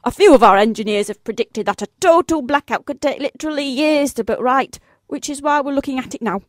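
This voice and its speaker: Woman acting a high-voiced, unflappable British office boss. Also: a little fast, no echo, clean studio sound, natural voice. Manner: polite, breezy, formal and businesslike. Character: genteel, unflappable female office boss making absurd requests. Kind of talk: short comic radio play acting.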